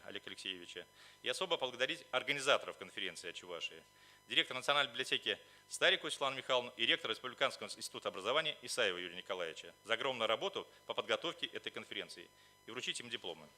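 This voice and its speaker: A man's voice: mid-range voice, expressive, racing, pushed and bright. A man delivering a formal, official prepared speech as a conference speaker. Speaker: conference speaker, representative of the organizers. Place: conference hall at a library.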